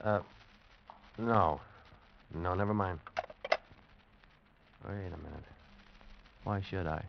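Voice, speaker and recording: A low-voiced, world-weary cynic. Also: male voice, slow, vocal fry, slight background noise, full character voice. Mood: scheming, wistful. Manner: wry, hard-boiled. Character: world-weary cynical broke actor narrating his story. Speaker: actor in a radio drama. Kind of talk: 1950s radio adventure drama narration. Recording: muffled vintage radio recording, music behind.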